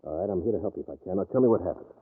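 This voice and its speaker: Animated radio sleuth, old recording. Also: male voice, low voice, racing, breathy edge. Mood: inquisitive. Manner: probing, casual, hesitating before questions. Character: brisk, easygoing male amateur sleuth questioning suspects. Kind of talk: old-time radio crime drama acting. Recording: muffled, narrow-band vintage radio recording.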